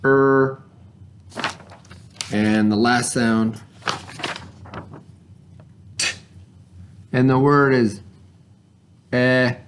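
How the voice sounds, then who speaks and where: A man's voice: medium pitch, steady tone, drawn-out words, pauses often, slight background noise. A man working as an elementary teacher, patiently leading a spelling lesson for children with questions and sounded-out letters. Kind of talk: read-along spelling lesson for children at home.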